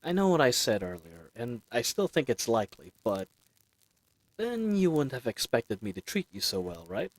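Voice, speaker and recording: Man playing a charming, lively bandit leader. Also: medium pitch, moderate pace, no room sound, natural voice. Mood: cheerful, reassuring. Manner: casual, chatty, playfully teasing. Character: charismatic, friendly male bandit leader, teasing and roguish. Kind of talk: fantasy audio roleplay acting, speaking intimately to listener.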